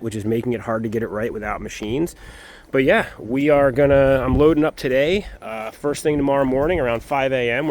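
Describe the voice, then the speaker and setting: A man chatting casually and informally to his viewers as the host of a car vlog, in a folksy way. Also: mid-range voice. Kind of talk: casual handheld vlog chat. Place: mostly outdoors, around vehicles, parking lot and property. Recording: noisy outdoor recording with vehicle sounds.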